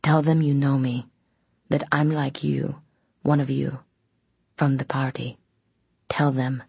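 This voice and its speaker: A woman voicing an eerie young male vampire in a novel, speaking deliberately with quiet, insistent menace. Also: a bass voice, level tone, creaky, slightly rough, no echo, full character voice.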